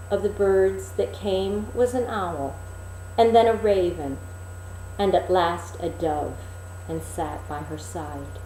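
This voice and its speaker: A woman reading a fairy tale as a storyteller, with deliberate, dramatic phrasing and pauses. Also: on the low side, mellow. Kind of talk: expressive, measured storybook reading. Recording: roomy echo, faint background noise.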